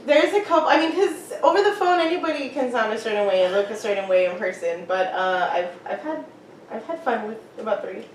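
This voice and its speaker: A woman chats as a podcast guest at home, easygoing and often laughing as she rambles. Her voice sits a bit high. She is picked up from a distance in an echoey room with a faint hiss. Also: animated, fairly quick. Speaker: podcast guest, police dispatcher. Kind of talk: casual interview chat. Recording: roomy, distant mic with faint noise.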